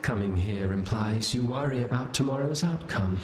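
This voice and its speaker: Ominous game inquisitor, male actor, mid-pitched, measured cryptic menace, reverberant sound. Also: slight background noise, lightly acted. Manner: measured, theatrical. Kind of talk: video game voice acting. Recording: echoey, loud music behind.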